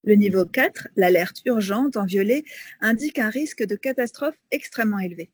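A woman's voice: medium pitch, normal speed, breathy edge, no room sound. A woman co-hosting a radio magazine, presenting information and putting questions as an interviewer. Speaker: radio co-host.

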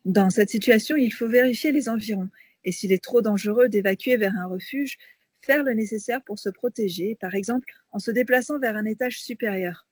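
Husky-voiced female radio co-host. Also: fairly high pitch, moderate pace, darkish tone, no room sound. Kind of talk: presenting and interviewing on a radio magazine.

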